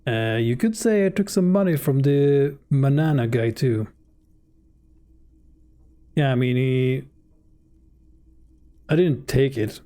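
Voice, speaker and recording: A man whose native language is Swedish chats casually while playing a game live. His delivery is slow and warm, and he jokes around relaxedly. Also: mid-range voice. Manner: relaxed and joking, with frequent fillers. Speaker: streamer. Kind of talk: casual live gameplay commentary.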